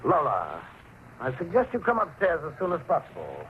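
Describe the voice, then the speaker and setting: A man's voice: fairly high pitch, huge pitch swings, normal speed. An actor playing a cold, controlling stepfather in a 1940s radio drama, clipped, stern and condescending. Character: cold, controlling stepfather, curt and menacingly calm. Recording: muffled, noisy 1940s radio recording.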